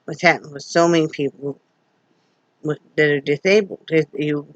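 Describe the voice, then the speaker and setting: A wheezy middle-aged woman with a sore throat, talking folksy and rambling at home. The sound is muffled and lo-fi, and the room is dry, with no echo. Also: low-pitched. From Wyoming, USA. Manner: folksy, rambling, many fillers. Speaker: vlogger. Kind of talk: casual home vlog chat. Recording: dull lo-fi home recording.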